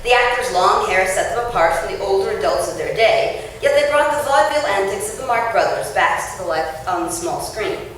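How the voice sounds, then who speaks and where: A woman's voice: mid-range voice, lively intonation, brisk, few pauses, fairly bright tone. Woman reading aloud in an echoey room. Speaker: author reading her essay at a book launch. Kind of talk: public reading of a written essay. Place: indoor launch-party event space. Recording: roomy echoing event space, distant mic, faint noise.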